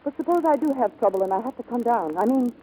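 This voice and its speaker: Actress as poised high-voiced aviatrix, old radio. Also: female voice, expressive, fast, dark tone, lightly acted. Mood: tender, reassuring. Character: brave, poised celebrated woman aviator. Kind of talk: 1940s Hollywood radio drama acting. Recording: noisy, muffled 1940s radio recording.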